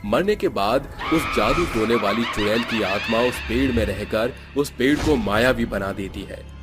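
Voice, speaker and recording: Male narrator for a cartoon tale, mid-pitched, steady delivery. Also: measured pace, loud music behind the voice. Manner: even storytelling delivery.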